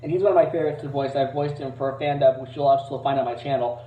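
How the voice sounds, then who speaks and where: A man's voice: fairly even intonation, fast. A man giving a casual, rambling demo of voices as an amateur impressionist, hesitant and self-deprecating. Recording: roomy, distant, dull low-fidelity mic.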